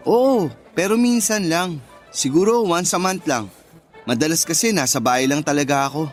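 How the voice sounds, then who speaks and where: Man acting a high-voiced, bashful young office worker. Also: normal speed, faint music behind, natural voice. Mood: shy, amused. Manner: bashful, self-deprecating, casual. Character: shy, modest introverted young male office worker, twenty-one. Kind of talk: Tagalog radio drama dialogue.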